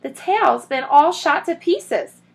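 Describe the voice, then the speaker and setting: A woman reading a story and voicing a bossy, impatient housewife who calls out sharp orders. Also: high voice, lively intonation, slow pace, gravelly, voice pushed up, full character voice. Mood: exasperated. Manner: sharp, commanding, scolding.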